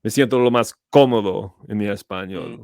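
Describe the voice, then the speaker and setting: A man in his thirties, a school teacher, chatting as a podcast co-host and telling stories at a relaxed, drawn-out pace. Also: lowish voice, dry recording, clean studio sound. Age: 30s. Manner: relaxed, drawn-out storytelling, switching into Spanish. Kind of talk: casual chat.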